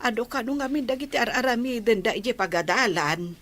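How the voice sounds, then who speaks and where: A woman voicing a gentle, elderly grandmother who speaks in a soft, reassuring manner in a radio drama. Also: a little high, brisk. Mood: fond, soothing. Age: older.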